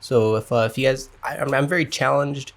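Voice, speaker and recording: A man's voice: mid-range voice, steady tone. A man co-hosts a casual podcast with his partner. He talks in a hesitant way, breaking off and restarting his sentences. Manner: halting, hesitant, lots of restarts. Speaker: podcast co-host. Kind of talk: casual chat.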